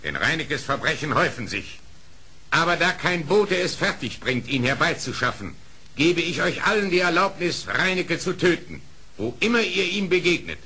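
A man's voice: on the high side, very animated, normal speed, sharp tone, husky, exaggerated voice. An actor playing a stern animal king in a radio play, declaiming solemn commands. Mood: stern, outraged. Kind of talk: German radio play acting.

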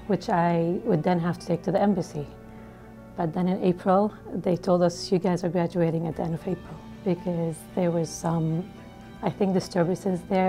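Middle-aged woman telling her story in a studio, low mellow voice, lots of "you know"s. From Liberia. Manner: conversational, frequent "you know" fillers. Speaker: interviewee. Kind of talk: personal storytelling. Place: studio with dark backdrop.

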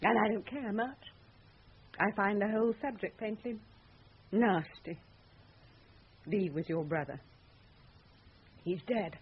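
A woman's voice: mid-range voice, measured pace, pauses often, lightly acted. An actress playing a jaded, hard-drinking, scornful woman in a radio drama. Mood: cool, bitter. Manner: sardonic, dismissive. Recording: muffled phone-like old radio recording, faint noise.

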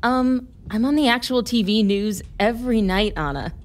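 A woman's voice: a little high, moderate pace, close to an everyday voice. A woman playing a wry, harried TV news reporter in an audio drama, sardonic and casual. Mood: teasing, affectionate. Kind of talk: naturalistic audio drama acting.

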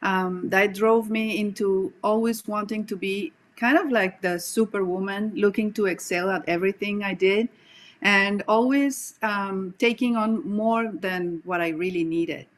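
A woman in her forties from Colombia with a Colombian accent, speaking earnestly and reflectively as a coach guest on a video call from a home room, pausing often with "um". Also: mid-range voice. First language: Spanish. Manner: earnest, reflective, many "um" pauses. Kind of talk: casual video-call interview.

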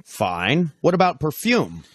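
A man with a husky voice playing airport parts in a scripted lesson dialogue. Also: a little high, huge pitch swings, slow pace, dry recording, clean studio sound, heavily acted. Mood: businesslike. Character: brisk official or traveller at an airport.

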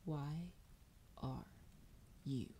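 A woman voicing a sultry, menacing female dragon in a breathy, whispered fantasy roleplay, teasing and drawing out her words. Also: low voice, mellow, a little hiss or hum, heavily acted. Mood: curious, mildly annoyed. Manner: slow, teasing, drawn-out delivery.

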